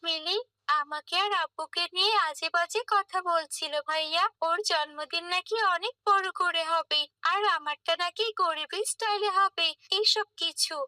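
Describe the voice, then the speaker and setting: A woman voicing a gentle, pious young schoolgirl in a children's cartoon, patient and earnest. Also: very high voice, moderate pace, dry recording, heavily acted. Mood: sincere, childlike. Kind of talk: scripted children's cartoon dialogue.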